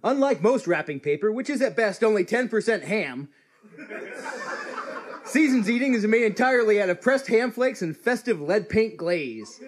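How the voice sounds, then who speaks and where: An actor playing a cheery American pitchman in a parody old-time radio advertisement. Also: very animated, normal speed. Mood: salesy.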